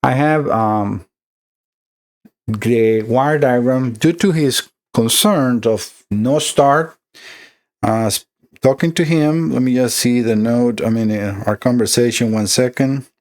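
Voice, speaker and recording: A man's voice: mid-range voice, takes it slowly, a little mellow. A middle-aged man from Costa Rica with a Costa Rican Spanish accent, conversationally explaining an electronics repair as a technician, with many fillers and restarts.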